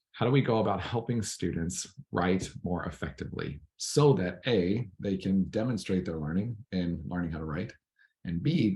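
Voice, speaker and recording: A man with a raspy, hoarse voice giving a recorded lecture to student teachers, clearing his throat now and then. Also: sits low, a little mellow. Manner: clears throat often, measured explaining tone. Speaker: teacher educator.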